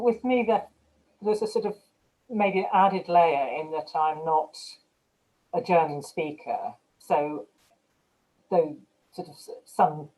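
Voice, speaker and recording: A woman's voice: low-pitched, warm tone, low-quality recording. A woman who translates and teaches writing, explaining their own approach in a panel discussion.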